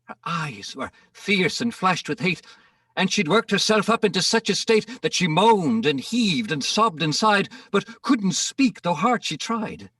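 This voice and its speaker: Man, theatrical verse narrator, very lively intonation, husky, bright forceful tone, distant mic. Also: sits high, unhurried, no room sound. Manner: theatrical, rhythmic storytelling. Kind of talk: dramatic recital of comic narrative verse.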